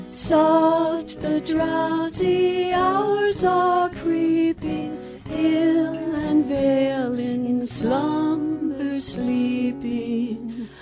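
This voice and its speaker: Lady playing a kind mama star dragon, high voice, super slow and comforting, loud music behind. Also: fairly even intonation. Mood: tender singing. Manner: slow, soothing. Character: gentle, motherly female star dragon soothing frightened friends. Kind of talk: children's radio play acting with lullaby singing.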